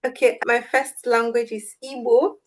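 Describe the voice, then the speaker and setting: A young woman with a Nigerian accent answers interview questions in an earnest, reflective way, often with a note of amusement. Her voice sits fairly high for a woman. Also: little pitch movement, unhurried. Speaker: guest, language advocate.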